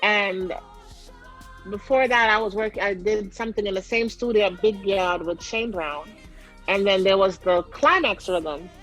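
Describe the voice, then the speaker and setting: A woman's voice: medium pitch, measured pace, fairly bright tone, soft background music, low-quality recording. A young adult woman with a light Jamaican accent, telling her story as an interview guest in a chatty, rambling way full of fillers.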